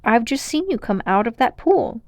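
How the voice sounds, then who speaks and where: A woman's voice: on the high side, very animated, moderate pace, a little mellow, dry recording, clean studio sound. A woman voicing a young girl in a storybook, dreamy and contented but sensible and matter-of-fact. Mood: questioning.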